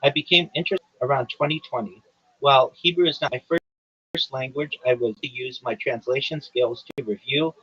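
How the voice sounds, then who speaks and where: A man with a husky, mid-pitched voice hosts a livestream. He talks in a measured, formal way that gives way to hesitant, casual chat. The audio sounds dull and lo-fi. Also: fairly bright tone, dry recording. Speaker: livestream host. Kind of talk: reading a prepared statement, then casual chat.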